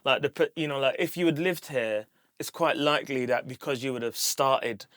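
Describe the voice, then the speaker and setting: A man's voice: medium pitch, normal speed, a bit bright, dry recording, clean studio sound. A man with a London accent, hosting as a DJ in a casual interview, encouraging and chatty. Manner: encouraging, many affirming murmurs. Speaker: DJ and podcast host.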